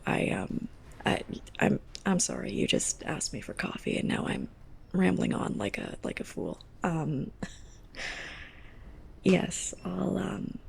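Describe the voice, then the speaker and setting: Woman acting a creaky, hushed, lovestruck library assistant. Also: lowish voice, exaggerated voice. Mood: shy, rambling. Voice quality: soft, breathy, often near a whisper. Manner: halting, awkward, self-conscious. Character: sarcastic, lovestruck young woman librarian's assistant, prickly and flustered. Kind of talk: ASMR roleplay, intimate monologue to the listener.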